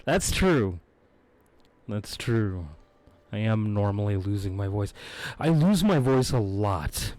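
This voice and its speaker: A man streaming a video game, hoarse from losing his voice, chatting in a laid-back, rambling way with frequent chuckles, close on his microphone. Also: lowish voice, animated, takes it slowly, darkish tone. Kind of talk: casual live-stream chat. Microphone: close desk microphone.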